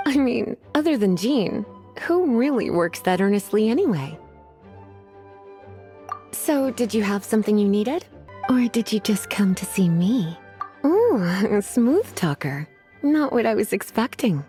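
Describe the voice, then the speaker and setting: A woman's voice: medium pitch, very animated, measured pace, warm tone. An actress playing a languid, teasing woman librarian who drawls and sighs, in a game with faint music behind. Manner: drawling, sighing, sultry teasing.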